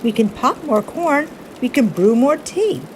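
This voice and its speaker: Little male storybook mouse, played by a woman: high-pitched, eager, squeaky, friendly. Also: animated, unhurried, slight background noise. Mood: reassuring.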